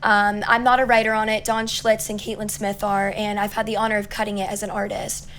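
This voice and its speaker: A young woman with a raspy voice, a singer-songwriter chatting upbeat as an interview guest, full of likes and ums. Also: medium pitch, flat delivery, fairly quick, a bit bright, a little hiss or hum. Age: young adult. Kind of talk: casual interview chat.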